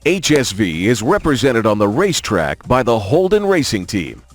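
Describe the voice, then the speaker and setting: Guy narrating a car promo, scripted read, medium pitch, really animated intonation. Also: normal speed, dry recording, faint music behind.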